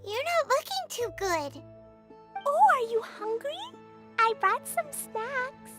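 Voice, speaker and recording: A woman's voice: very high voice, expressive, slow, loud music behind the voice, full character voice. A woman voicing an eager, caring little girl in a video game, with a childlike manner. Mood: concerned.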